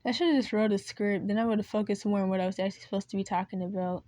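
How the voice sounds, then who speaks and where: A woman of ordinary pitch rambles to the camera with no script, stopping to wonder where her point went and doubling back. Her voice is cracking and strained. The sound is close and dry, with no room around it. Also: fairly even intonation, fast talker, dark tone, no echo. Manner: meandering, loses her train of thought and restarts. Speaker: video creator. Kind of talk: rambling unscripted monologue.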